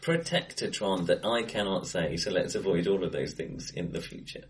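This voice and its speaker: A middle-aged man chatting casually and wryly about films as a podcast co-host, recorded in a bright, echoey room. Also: low voice, moderate pace. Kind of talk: casual film chat. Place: face to face in an acoustically bright room.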